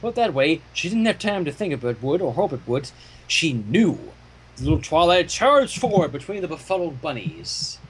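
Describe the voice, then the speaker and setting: A man reading fan fiction aloud as an expressive narrator, with casual asides. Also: on the high side, moderate pace, breathy edge, rough recording.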